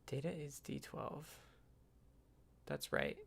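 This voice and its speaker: A man with a creaky, gravel-edged voice at a middling pitch, hosting a casual programming livestream. He thinks aloud in halting bursts, often muttering to himself as he works at his desk. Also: sing-song, fairly bright tone. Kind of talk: casual live coding stream, thinking aloud. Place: indoors at a desk with computer.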